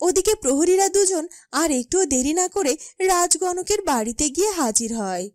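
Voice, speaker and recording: A woman's voice: extremely high pitch, lively intonation, moderate pace, darkish tone, no echo. A woman reading scripted narration for a cartoon fairy tale. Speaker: narrator and character voice.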